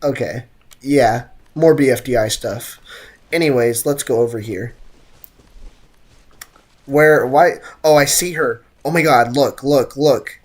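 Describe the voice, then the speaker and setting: A man's voice: medium pitch, slow pace, long pauses. A young man giving casual, slangy game commentary and joking with his livestream chat. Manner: slangy, jokey, theatrical outbursts.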